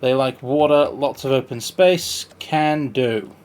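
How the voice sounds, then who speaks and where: A man's voice: mid-range voice, takes it slowly, a little mellow. A man giving casual gameplay commentary, thinking aloud and muttering to himself as he plays. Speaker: streamer playing a park-building game.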